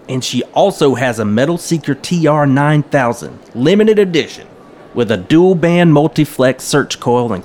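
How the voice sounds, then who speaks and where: Folksy, excitable small-town hobbyist guy. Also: medium pitch, slight background noise. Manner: folksy, chuckling, chatty small-town slang. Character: eager folksy small-town coin and metal-detecting enthusiast man. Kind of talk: audio drama dialogue.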